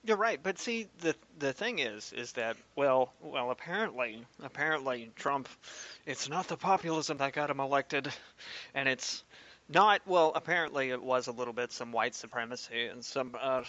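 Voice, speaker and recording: A man co-hosting a podcast, chatting about politics in an animated, sarcastic, mocking way. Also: medium pitch, moderate pace, dull audio. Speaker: podcast co-host. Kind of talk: casual political chat.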